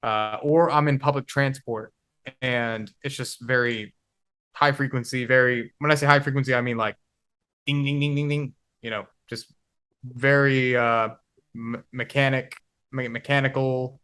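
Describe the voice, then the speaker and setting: Male guest, 20s, reflective and halting, plain mid-pitched voice. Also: fairly even intonation, sharp tone, smooth. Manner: reflective, halting, searching for words. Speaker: podcast guest. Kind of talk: casual conversation.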